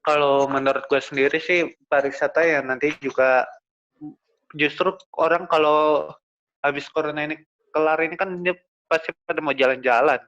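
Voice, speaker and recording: Young man, podcast guest, hesitant and halting, dull lo-fi recording. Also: fairly high pitch, fairly even intonation, a bit bright. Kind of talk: casual chat.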